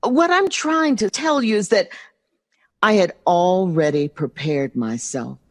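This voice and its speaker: A woman's voice: fairly low pitch, lively intonation, a little slow. An American actress playing a hurt, loving middle-aged mother in an audio drama, emphatic and emotionally open. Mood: earnest, firm.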